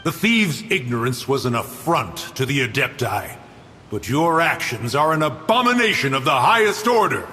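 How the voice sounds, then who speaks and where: An actor playing a haughty, ancient guardian spirit in a game, speaking in a stern, stately, archaic manner. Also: male voice, medium pitch, sing-song, noisy background, lightly acted. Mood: wrathful, imperious. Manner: stern, archaic, stately, slow formal speech. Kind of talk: dramatic game voice acting. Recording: long echoing reverb with music behind.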